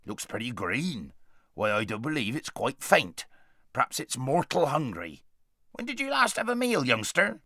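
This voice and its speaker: A man voicing a brisk, kindly red dwarf who talks in a bossy, bustling way with loud exclamations. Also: mid-range voice, very animated, dry recording, clean studio sound, full character voice.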